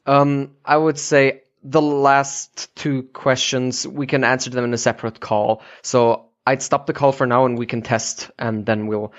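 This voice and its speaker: A man's voice: mid-range voice, little pitch movement, unhurried, hardly any pauses. Male podcast host, mid-range voice, casual conversational interviewer, slightly muffled call-quality recording. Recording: dull lo-fi internet call audio.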